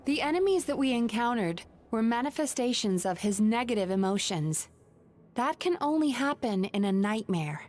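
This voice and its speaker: A woman playing a composed, earnest dream therapist in a video game, measured, articulate and gently firm. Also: a little high, animated, close to an everyday voice. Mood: grave, firm.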